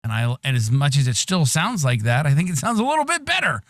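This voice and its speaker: A man with a whiny, nasal voice co-hosting a podcast, chatty and full of opinions. His pitch sits fairly high and moves around a lot. His EQ'd mic gives a dry, close sound. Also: big pitch swings, fast talker, a bit bright, no echo. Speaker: podcast co-host. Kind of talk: casual chat.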